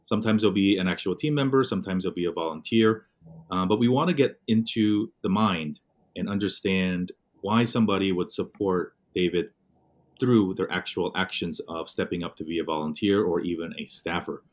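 A man with a very low voice hosts a casual interview. He sounds upbeat and eager as he introduces his guest and asks what brought her to the work. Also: normal speed. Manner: upbeat, eager, leading questions. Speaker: interview host.

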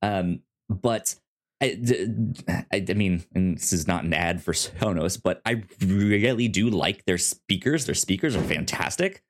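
A podcast host chatting casually about reviews, with a halting delivery that repeats and stammers on words. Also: low-pitched, animated.

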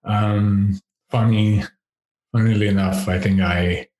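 Deep-voiced man, slow and laughing. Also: steady tone, darkish tone, roomy sound, poor audio. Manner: laughs often, thinks aloud with fillers. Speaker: podcast guest, education researcher. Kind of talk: casual interview chat. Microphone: over-ear headset with boom mic.

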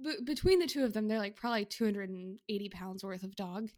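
A woman co-hosting a podcast in casual chat, telling stories in a halting, amused way. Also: medium pitch, lively intonation, a little mellow, no room sound, studio quality. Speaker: podcast co-host.

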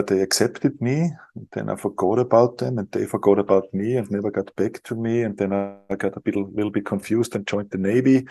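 A middle-aged man from Europe speaks English with a non-native accent. His voice is low, gravelly and warm, and he sounds dry and close to the mic. He talks casually, with wry self-deprecating asides. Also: no echo. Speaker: guest surgeon.

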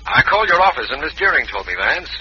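Sharp, animated district attorney. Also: male voice, a little high, fast, a little hiss or hum, exaggerated voice. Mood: teasing. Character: brisk, jovial male district attorney. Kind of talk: 1940s radio mystery acting. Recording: tinny, muffled old radio recording.

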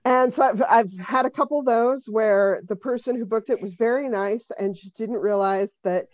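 A woman's voice: on the high side, normal speed, a little mellow, no echo, lo-fi sound. A woman in her fifties from Denver, a comedian and musician guest chatting dryly on a podcast and laughing often. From Denver, USA. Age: 50s. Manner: dry, wry, laughs often. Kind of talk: casual podcast interview.

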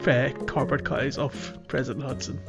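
A man co-hosting a gaming podcast, chatting casually and haltingly as he gathers his thoughts. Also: mid-range voice, level tone, gravelly, over loud music. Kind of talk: casual chat about a video game.

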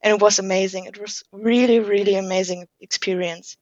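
A woman's voice: medium pitch, steady tone, fairly quick, darkish tone, no room sound. Young German-accented woman, dull lo-fi audio. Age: late teens. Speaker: podcast guest, university student. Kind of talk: casual personal storytelling.

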